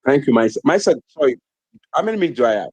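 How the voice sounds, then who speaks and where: A man's voice: mid-range voice, expressive, racing, darkish tone, husky, dry recording, dull audio. A man with a Nigerian accent, arguing heatedly as a guest on a TV debate, repeating phrases for emphasis. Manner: impassioned, repeats phrases for emphasis, many fillers. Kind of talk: heated political argument.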